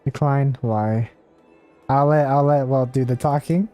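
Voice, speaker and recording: Male game streamer, mellow and casual, chatting over clear game music. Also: medium pitch, unhurried. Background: video game music.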